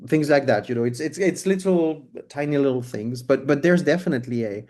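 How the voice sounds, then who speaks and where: A man with a French accent speaks in English in a casual interview. His voice is mellow and relaxed, of middle pitch. He explains things haltingly, restarting sentences often. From France. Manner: hesitant, many restarts and fillers while explaining. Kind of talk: casual conversational interview answers.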